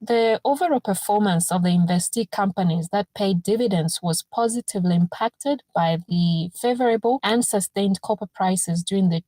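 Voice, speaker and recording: Female company spokesperson, formal read statement, relaxed dark timbre, little room sound. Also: mid-range voice, no echo. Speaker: corporate affairs manager.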